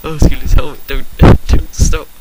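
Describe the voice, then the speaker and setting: A man voicing an ecstatic tomboy cartoon pegasus mare in broken, breathy gasps, on a noisy amateur recording. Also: mid-range voice, flat delivery, normal speed, close to an everyday voice. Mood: breathless ecstasy.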